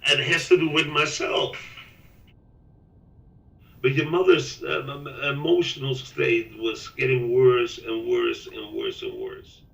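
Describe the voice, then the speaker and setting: Gravelly older man, echoey and distant. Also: medium pitch, lively intonation, fairly bright tone. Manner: halting, with fillers and repeats. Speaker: father interviewed by his son. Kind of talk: candid documentary interview. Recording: echoey room, away from the mic.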